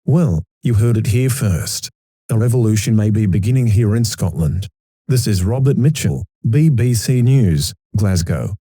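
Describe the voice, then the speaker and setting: A man's voice: on the low side, animated, measured pace, mellow, no echo, studio quality. A man playing a serious political analyst, giving a scripted live news report in a formal, matter-of-fact way.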